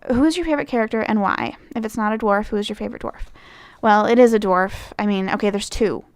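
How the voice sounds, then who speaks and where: A woman's voice: mid-range voice, fast talker, smooth, no echo. Chatty Midwestern young woman, dry close sound. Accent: Midwestern American. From Michigan, United States. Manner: chatty, rambling, lots of fillers and self-corrections. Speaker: vlogger. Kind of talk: casual answers to a fan questionnaire.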